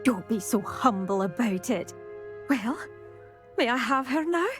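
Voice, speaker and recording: A woman's voice: on the high side, animated, measured pace, faint music behind. A woman playing a vain, haughty young fashion lover in a video game, with a dramatic manner. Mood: eager. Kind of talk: video game character dialogue.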